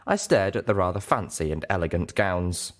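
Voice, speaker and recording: A man narrating an audiobook as an earnest, self-doubting young mare, in a measured, deliberate way. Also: sits low, animated. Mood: anguished, self-doubting. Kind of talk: scripted first-person audiobook narration.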